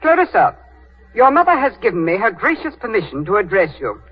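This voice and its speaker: A stiff young aristocrat, earnest and formal to the point of pomposity. His pitch is high and jumps about. The sound is a dull 1930s radio broadcast. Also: wildly swooping pitch, a little fast, husky, exaggerated voice. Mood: nervous, formal. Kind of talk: 1930s radio drama acting.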